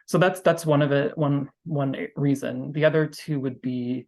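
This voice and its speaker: A man's voice: medium pitch, fairly even intonation, moderate pace, a little mellow. A man speaking as an astrologer guest in an interview, explaining his practice earnestly and conversationally, thinking aloud as he goes.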